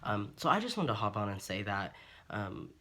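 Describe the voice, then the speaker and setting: A man in his twenties from Ohio speaks in a somewhat low voice. He talks candidly to the camera, earnest and open, and keeps pausing on ums and restarting his sentences. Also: very animated, bright, forceful tone. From Ohio, USA. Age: 20s. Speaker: vlogger. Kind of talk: candid personal monologue to camera.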